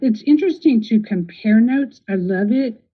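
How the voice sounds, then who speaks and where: A woman's voice: on the high side, lively intonation, moderate pace, slightly rough. A woman hosting a podcast, interviewing casually with supportive affirmations. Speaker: podcast host. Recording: tinny phone-like audio.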